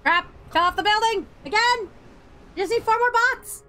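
A woman's voice: very high voice, moderate pace, sharp tone, soft background music. A woman streamer giving exasperated, ranting commentary while playing a game. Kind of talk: let's play commentary.

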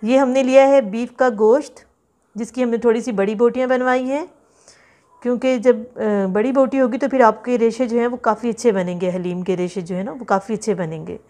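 A slightly high-voiced woman talks through a home cooking lesson in a relaxed, chatty way. She lists quantities carefully, pausing and repeating items as she goes. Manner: chatty, instructive, lists ingredients slowly with repeats. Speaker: cooking channel host. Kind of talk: home cooking tutorial voice-over. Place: home kitchen.

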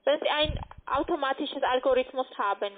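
A woman speaking non-native German, giving a scripted cold-call sales pitch. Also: normal speed. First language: not German. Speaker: cold caller selling trading platforms. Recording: muffled lo-fi phone line.

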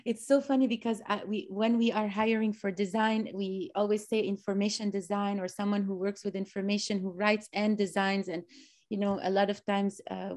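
A woman's voice: mid-range voice, level tone, normal speed, a little mellow. A woman in her thirties hosting a casual online talk over a video call, eager and full of fillers. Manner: enthusiastic, many fillers. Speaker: host. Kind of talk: casual interview chat. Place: small room indoors.

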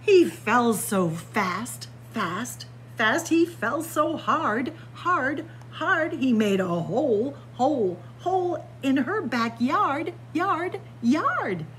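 Lively older woman reading a rhyme. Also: high-pitched, extremely slow, few pauses, a little hiss or hum. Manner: sing-song chanting with playful asides. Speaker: children's librarian. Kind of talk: picture book read-aloud. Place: library with bookshelves. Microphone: webcam at close range.

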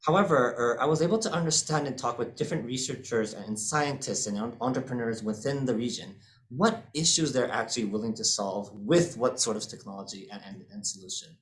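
A man's voice: medium pitch, a little fast, low-quality recording. Man with an American accent on a video call. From born in the UK, raised in US and Hong Kong. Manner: frequent fillers and repeated words. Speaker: fund manager presenting. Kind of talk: online seminar presentation. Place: indoor room, webcam video call.

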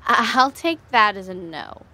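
A woman's voice: mid-range voice, lively intonation, moderate pace, bright, forceful tone. A woman playing a composed alien fugitive who leads her crew in an audio drama. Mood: composed, urgent.

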